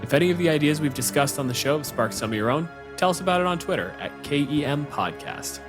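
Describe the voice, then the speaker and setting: A man's voice: a little high, animated, fast talker, over music. A man co-hosting a podcast as game master, talking casually about the play. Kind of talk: casual tabletop game commentary.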